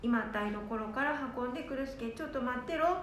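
Woman as a high-voiced blunt old granny, radio play, echoey, off-mic. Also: slight background noise, close to an everyday voice. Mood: brisk. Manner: brisk, blunt dialect talk. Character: bossy old grandmother. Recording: echoey room from a distance.

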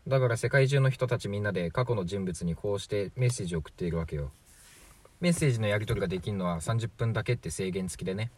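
Man acting a low-voiced, breezy man from the future. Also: fast, a little mellow, close to an everyday voice. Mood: explaining, casual. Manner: chatty, glib, casually matter-of-fact. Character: 43-year-old man from the future, breezy and flippant. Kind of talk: amateur radio drama acting.

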